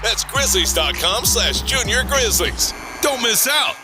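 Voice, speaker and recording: Male ad announcer, bright and high, clear music under the voice. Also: sing-song, measured pace. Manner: upbeat promotional read. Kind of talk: radio ad read. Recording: music bed behind voice.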